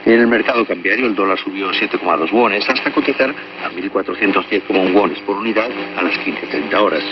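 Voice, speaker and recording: A male radio announcer with a fairly low voice reads scripted news formally and with a measured delivery. He comes through a shortwave broadcast, distant from the listener, with clearly audible noise over his words. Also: highs cut off.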